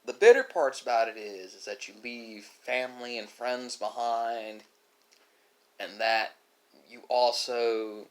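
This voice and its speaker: A man with an average-pitched voice delivers an unscripted, reflective monologue to an online audience. He talks in a philosophical way, breaking phrases with pauses and correcting himself along the way. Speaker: ASMR video creator. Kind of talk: unscripted musing monologue to viewers during a mukbang.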